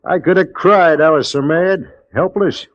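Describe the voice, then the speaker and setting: A man acting a plainspoken, indignant American frontier stagecoach driver in an old-time radio western, with a creaky voice and a folksy rustic drawl. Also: fairly high pitch, measured pace, no room sound, close to an everyday voice. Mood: upset, bewildered. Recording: dull, dry old radio recording.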